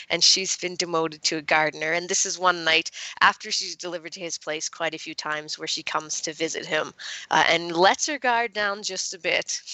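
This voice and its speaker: A woman's voice: deep voice, pushed and bright, no echo, low-quality recording. A woman talking casually as an author being interviewed, informal and peppered with "like".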